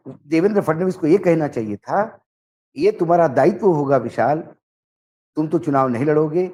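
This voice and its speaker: A man giving political commentary, speaking emphatically and deliberately in short phrases as he recounts dialogue. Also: a little high, a little mellow. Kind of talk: political commentary, narrating and translating a reported conversation.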